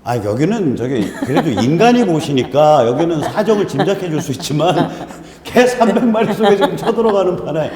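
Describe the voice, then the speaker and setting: A man's voice: a little high, fairly quick, hardly any pauses. Joking man in his fifties, echoey hall. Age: 50s. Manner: joking, self-deprecating, animated. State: congested, strained throat. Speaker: host. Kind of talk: casual political talk show banter. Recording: roomy hall echo, faint noise.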